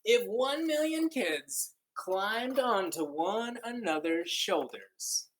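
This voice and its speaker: A man reading a children's book aloud like a teacher, expressive and playful, drawing out key words and dropping in casual joking asides. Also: high-pitched, slow, a little hiss or hum. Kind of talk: children's storybook read-aloud with casual commentary.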